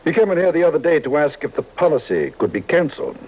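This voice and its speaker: A man's voice: on the high side, sing-song, quick pace. A man acting a fussy, stingy, anxious insurance executive in a mid-century radio drama, prim and insistent. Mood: worried. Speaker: supporting actor in a radio drama. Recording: tinny, band-limited old radio recording.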